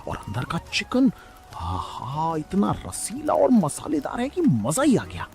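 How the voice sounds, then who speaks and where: A man's voice: a little high, huge pitch swings, slow, creaky, darkish tone, soft background music, heavily acted. A man playing a commanding, exclamatory village headman in a cartoon. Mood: delighted. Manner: authoritative, exclamatory. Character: gruff, commanding male village headman who loves food.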